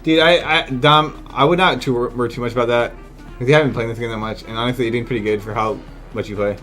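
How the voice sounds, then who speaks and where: A man's voice: mid-range voice, fairly even intonation, quick pace, fairly bright tone, soft background music. A man giving casual, incredulous live commentary as a video game streamer, exclaiming and swearing freely.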